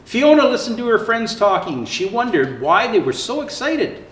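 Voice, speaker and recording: A man plays a jolly old Santa Claus reading a children's storybook. His voice sits high and swoops with theatrical expression. He takes his time, and the room adds a clear echo. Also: sing-song, moderate pace, a bit bright. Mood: jolly, storytelling. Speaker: storyteller reading picture books aloud. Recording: roomy echo, faint background noise.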